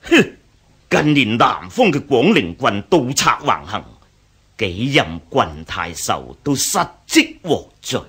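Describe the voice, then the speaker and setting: An arrogant, vindictive court lord of ancient China. He speaks Hong Kong Cantonese slowly, with big swings of pitch and a slightly husky edge, full of scorn in classic radio drama style. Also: very animated, a bit bright, exaggerated voice. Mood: scheming. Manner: haughty, scornful snorts and chuckles. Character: arrogant, vindictive elder brother, powerful Han dynasty official.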